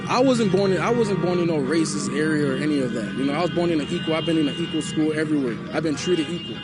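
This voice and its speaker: An impassioned young man from Liberia speaks in a fairly high voice, circling back to his points with "you know?". He's recorded outdoors at a protest, with loud music playing behind him. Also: lively intonation, fast talker. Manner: impassioned, repetitive, often asks "you know?". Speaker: interviewee. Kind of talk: street interview. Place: outdoors at a night protest near a mall.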